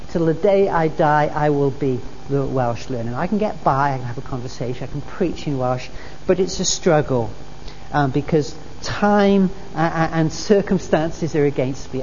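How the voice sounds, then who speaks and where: A woman's voice: deep voice, dark tone. A woman preacher delivering a sermon, earnest and repeating her key phrases for emphasis. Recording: dull recording in a reverberant hall with faint noise.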